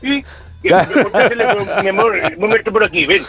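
Man putting on a gravelly brash housewife caller. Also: high voice, lively intonation, fast talker, bright, forceful tone, full character voice. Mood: flustered. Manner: scatterbrained, swears freely. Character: bossy older housewife caller Mari Carmen. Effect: phone call.

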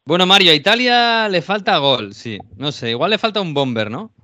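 A man's voice: high voice, lively intonation, normal speed, pushed and bright, no room sound. A man with an accent from Spain hosting a sports show, interviewing in a casual, conversational way.

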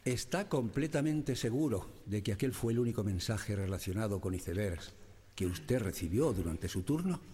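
A stern male examiner in a radio drama, questions formally and insistently. His voice is husky and dark, with animated swings in pitch. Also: normal speed. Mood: stern, probing.

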